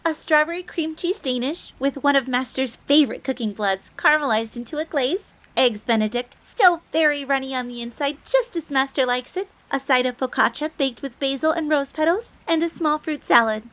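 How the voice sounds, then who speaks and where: A young female fox maid in an audio roleplay, pitched very high. She is sweet, eager and devoted, always deferential to her master. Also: moderate pace, hardly any pauses, fairly bright tone. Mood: cheerful, eager. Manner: deferential, refers to herself in third person.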